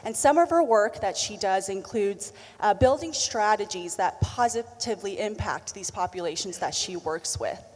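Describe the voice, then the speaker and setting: A woman with a Southern American accent moderates a panel in a large hall, introducing the speakers in a friendly tone. Her pitch sits in the middle range. Her voice carries a long reverb from the room. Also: animated, normal speed, few pauses, darkish tone. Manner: friendly, reading guest introductions with fillers. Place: conference hall.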